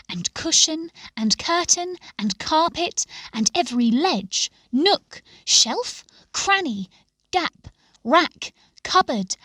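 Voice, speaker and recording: A woman reading a scripted story aloud in a measured, expressive storytelling manner. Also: high voice, no echo. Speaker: story reader.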